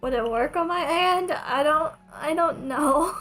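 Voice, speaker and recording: A woman's voice: high-pitched, unhurried, fairly bright tone. A woman who speaks English as a second language, chatting casually as she streams a game. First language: not English. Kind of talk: casual livestream gameplay chat. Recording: game music behind the voice. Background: game music.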